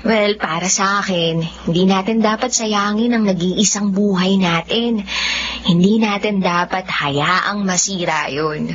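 A woman playing a gentle, resilient young blind woman with quiet determination, in Filipino radio drama style. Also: medium pitch, measured pace, natural voice. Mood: earnest, hopeful. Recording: dull audio with faint noise.

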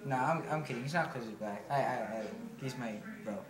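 A man's voice: medium pitch, fast, crowd noise. Goofy young man, echoey room. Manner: goofy, rambling, playfully defensive. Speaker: friend. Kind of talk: casual chat. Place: small bedroom indoors.

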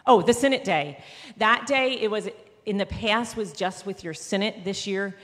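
A woman's voice: medium pitch, normal speed, fairly bright tone. A woman giving a casual, rambling report to a church congregation, often correcting herself as she goes. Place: large church sanctuary. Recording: long church reverb.

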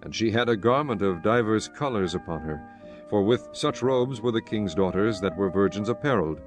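A man with a lowish voice narrates scripture. His delivery is formal and solemn, like a storyteller, with music underneath. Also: lively intonation, moderate pace. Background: background music.

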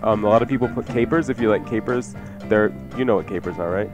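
An American man with a fairly low voice hosts a cooking show on the radio. He talks informally and with banter as he explains, and music plays clearly behind him. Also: fast. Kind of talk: casual cooking chat on live radio. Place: radio studio.

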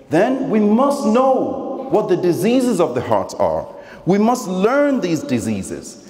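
A man's voice: a little high, mellow. A young man giving an earnest Friday sermon from notes at a stand microphone in a large mosque hall. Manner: earnest, reading from paper, chants Quranic verse. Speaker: preacher. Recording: echoey hall reverb.

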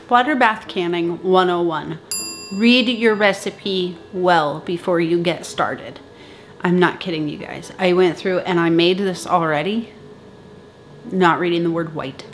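A woman in her thirties talking to camera in her home kitchen, giving chatty, brisk canning instructions. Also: medium pitch, slight background noise. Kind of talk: casual how-to talk to camera. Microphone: camera at close range.

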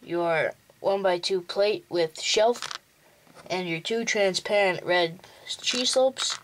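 Slow, plainly spoken young male tutorial host. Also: sits high, fairly bright tone, a little hiss or hum, rough recording. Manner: slow, plain instructional walkthrough. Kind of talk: step-by-step building tutorial.

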